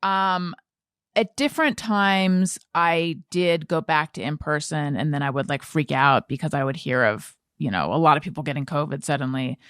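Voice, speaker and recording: A low-voiced American woman in her forties talks in a casual podcast style. She thinks aloud, halting and rewording her sentences as she goes. The sound is dry, with hardly any room on it. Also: a bit bright, clear, resonant tone, dry recording. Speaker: podcast host and interviewer. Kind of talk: casual conversational interview chat.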